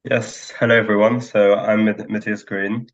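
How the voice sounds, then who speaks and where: Flat, low young man on dull call audio. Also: moderate pace. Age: 20s. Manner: flat, hesitant. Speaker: student developer introducing himself. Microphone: video call.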